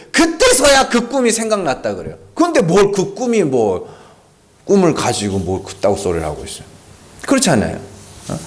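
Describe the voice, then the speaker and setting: Male pastor, sermon delivery, lively rising-and-falling voice, emphatic and chatty, keeps tossing questions at the pews. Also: medium pitch. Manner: conversational, peppered with rhetorical questions to the congregation; emphatic and animated. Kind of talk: sermon at a Friday prayer meeting. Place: church sanctuary.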